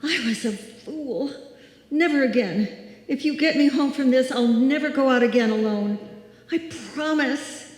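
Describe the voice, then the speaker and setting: A woman's voice: high-pitched, measured pace, darkish tone. A woman acting a frightened woman alone at night, talking to herself in a live old-time radio suspense play. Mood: desperate pleading. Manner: breathless pleading and self-soothing, theatrical. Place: live stage performance in a hall. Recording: echoey live hall, music behind.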